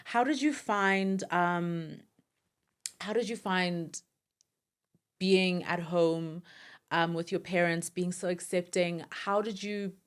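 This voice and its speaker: A woman's voice: lowish voice, slow, clean tone. A woman with a South African accent hosting a casual interview, earnest and supportive as she talks. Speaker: podcast host.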